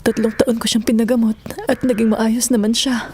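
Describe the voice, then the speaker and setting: A woman's voice: on the high side, level tone, normal speed, background noise, lightly acted. A woman with a Filipino accent acting a worried, tearful middle-aged mother in an audio drama. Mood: anxious.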